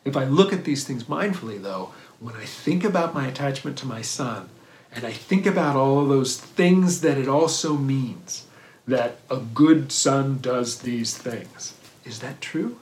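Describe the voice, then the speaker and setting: A man giving a thoughtful dharma talk on mindfulness, pausing and restarting often and checking in with "right?". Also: mid-range voice, expressive, a little mellow. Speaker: meditation teacher. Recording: roomy echo.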